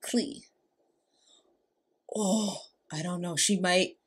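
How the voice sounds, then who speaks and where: A woman's voice: on the low side, very slow, husky. A young woman giving casual, gushing, giggly reaction commentary from a small room. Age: young adult. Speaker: reaction video host. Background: music and game trailer audio.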